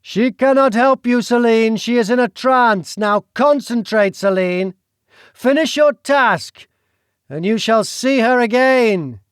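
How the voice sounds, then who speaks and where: A man voicing a menacing duke who gives loud, forceful commands. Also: very high-pitched, slow, clear, resonant tone, no echo, clean studio sound, voice pushed up. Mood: commanding.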